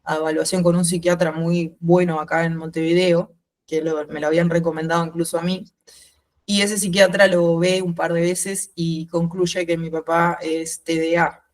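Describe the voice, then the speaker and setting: A woman in her thirties with a mixed Uruguayan and Spanish accent, speaking reflectively and haltingly as a guest in an informal interview. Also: lowish voice, flat delivery. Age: 30s. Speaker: podcast guest. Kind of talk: informal interview, personal account.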